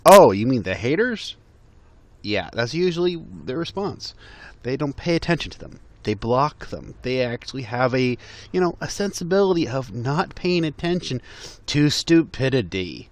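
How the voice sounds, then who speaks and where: A man's voice: mid-range voice, sing-song, moderate pace. A man giving exasperated, mocking reaction commentary on a video, laughing through his remarks.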